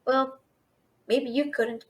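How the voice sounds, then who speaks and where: A woman playing a brash, fast-talking young female thief and failed alchemist in a fantasy audio roleplay, chattering with sarcastic, exasperated bluster. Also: high voice, fairly even intonation. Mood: grumbling, wry. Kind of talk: fantasy audio roleplay, addressing an unseen listener.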